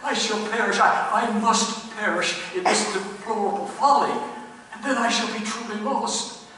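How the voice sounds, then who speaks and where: An actor playing a sickly, agitated, gloomy master of a gothic house in a radio play, halting, theatrical and fretful. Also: male voice, high voice, fairly even intonation, pushed and bright. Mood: dread, despair. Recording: very echoey hall, distant mic, faint noise.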